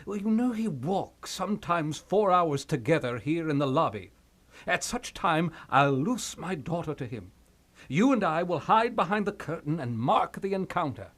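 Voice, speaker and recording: A man's voice: fairly high pitch, big pitch swings, moderate pace, a bit bright. A man playing a fussy, pompous old royal counselor who rambles and dodders in a theatrical Shakespeare puppet show. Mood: scheming.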